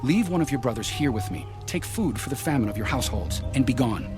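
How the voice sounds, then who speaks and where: A man plays an earnest son in a biblical audio drama. He recounts things in a measured, medium-pitched male voice. Music is clearly heard behind him. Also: animated, lightly acted. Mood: earnest, troubled. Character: earnest Hebrew son reporting to his father. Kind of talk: dramatized audio Bible acting. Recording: loud music bed.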